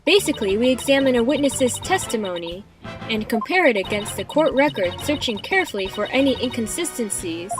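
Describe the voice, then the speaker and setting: A woman's voice: fairly high pitch, animated, a little fast, close to an everyday voice. A woman playing a perky, feisty young rookie lawyer in a game fan dub, with an eager, instructive delivery. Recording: music under the voice. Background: music.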